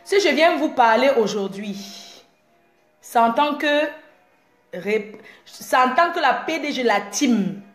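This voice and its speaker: A woman's voice: sits high, animated, slow pace, soft background music. Cameroonian blogger lady ranting at the camera, bragging and taking digs, cameroonian french accent, roomy echo. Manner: boastful, confrontational, mocking. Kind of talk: direct-to-camera rant.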